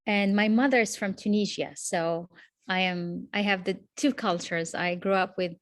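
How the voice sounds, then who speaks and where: A woman, answering questions as an interview guest in a reflective, measured way over a video call. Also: medium pitch, fairly even intonation, dry recording, clean studio sound. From Morocco. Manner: reflective, measured, with frequent restarts.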